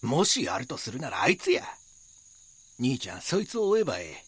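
An actor playing a rough, informal and grudging local man with a Kansai accent in an audio drama. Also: medium pitch, huge pitch swings, fairly quick, fairly bright tone, dry recording, exaggerated voice. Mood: bitter. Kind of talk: Japanese audio drama dialogue.

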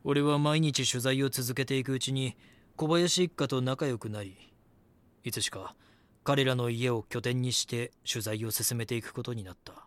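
A man's voice: medium pitch, steady tone, normal speed, natural voice. An actor playing a reporter who narrates his investigation in a sombre, reflective way, as scripted drama.

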